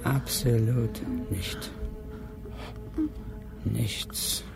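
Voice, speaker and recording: A man's voice: medium pitch, steady tone, extremely slow, creaky, loud music behind the voice. An actor playing a withdrawn, brooding young man in a radio play monologue, speaking in short repetitive phrases. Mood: brooding, numb. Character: withdrawn, brooding young male driver, passive onlooker.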